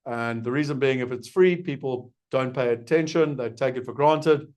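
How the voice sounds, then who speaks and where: A middle-aged South African man speaks earnestly and persuasively, in a medium-pitched voice. He poses listeners' questions and answers them himself, like a live webinar host making a sales pitch. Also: moderate pace, a little mellow. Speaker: investment program host.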